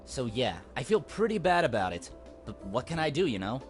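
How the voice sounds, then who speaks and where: A man's voice: mid-range voice, very animated, a little fast, close to an everyday voice. An actor playing an earnest, guilt-ridden young man in a mystery visual novel, speaking casually and emotionally. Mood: regretful, resigned. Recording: game dialogue under loud music.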